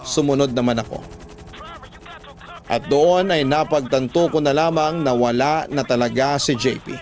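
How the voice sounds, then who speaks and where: A man's voice: mid-range voice, moderate pace, darkish tone. A man with a Filipino accent reading a listener's love letter as a scripted first-person radio narration.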